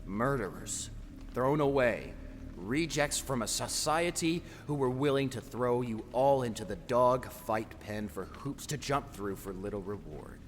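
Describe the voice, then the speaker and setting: An actor playing a menacing, taunting, grandiose tormentor in an audio drama, swinging between whispers and shouts. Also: male voice, medium pitch, lively intonation, measured pace, close to an everyday voice. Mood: menacing, mocking. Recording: long hall reverb, faint noise.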